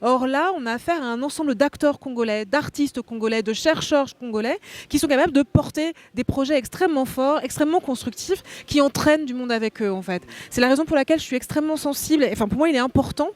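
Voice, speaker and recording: High-voiced, articulate academic woman. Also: quick pace, a little mellow. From born in Belgium, raised in France. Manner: articulate, academic, explains concepts at length. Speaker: guest philosopher. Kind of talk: discussion on a cultural talk show. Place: hotel meeting room.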